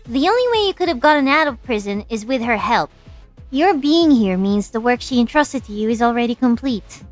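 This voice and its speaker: A woman playing a sassy, anxious young lab director in an expressive, flippant fan-dub style. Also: fairly high pitch, a little fast, soft background music, lightly acted. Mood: tense, defiant. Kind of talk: fan-dub game drama acting.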